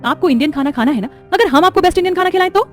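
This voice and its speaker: A woman playing a sharp-tongued, confident young daughter-in-law in a story, teasing and argumentative. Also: very high voice, expressive, very fast. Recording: music behind the voice.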